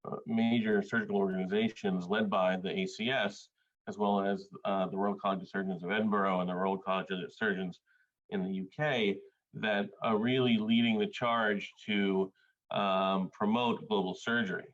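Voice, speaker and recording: Low-voiced man, halting, many filler sounds. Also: fairly even intonation, highs cut off. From Bangladesh. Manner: hesitant, many filler sounds, measured delivery. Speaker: surgeon presenting on a panel. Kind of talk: academic presentation.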